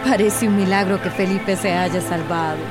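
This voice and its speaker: A woman's voice: mid-range voice, fairly even intonation, normal speed, loud music behind the voice, lightly acted. An actress playing a tender, playful, affectionate woman in a radio soap opera. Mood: wonder.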